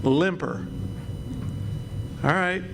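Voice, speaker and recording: A man's voice: sits high, drawn-out words, full character voice. A man playing a breezy, self-assured friend and amateur hypnotist in an old-time radio comedy. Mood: breezy, confident. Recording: noisy live stage recording.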